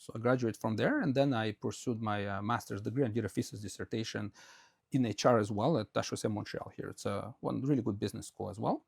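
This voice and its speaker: Brief: Russian-born male podcast guest, quick rambling delivery, gravelly low voice, dry close sound. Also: no echo. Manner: rambling, many fillers and restarts. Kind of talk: conversational interview answers. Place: Quebec, Canada.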